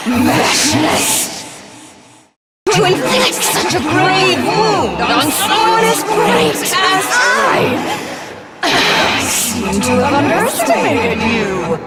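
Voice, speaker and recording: A voice actress playing a haughty, cruel would-be goddess, a grandiose and theatrical video game villain. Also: female voice, high-pitched, heavy background noise, full character voice. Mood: fierce, exultant. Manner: grandiose, slow, archaic, menacing delivery.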